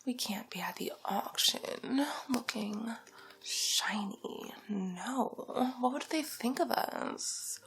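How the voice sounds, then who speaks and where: A woman playing a haughty, condescending, luxury-obsessed villainess in an ASMR roleplay, with a drawling, theatrical delivery that shifts between breathy whisper and soft creaky speech. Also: on the high side, slow. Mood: snobbish, haughty. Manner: drawling, theatrical, condescending, calls listener darling.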